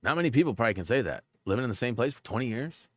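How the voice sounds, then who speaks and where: A man's voice: medium pitch, lively intonation, very fast, no echo, studio quality. A man hosting a gaming livestream in a rambling, casual way, full of fillers, and a bit stuffed up. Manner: rambling, filler-heavy, lots of "like". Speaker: livestream host.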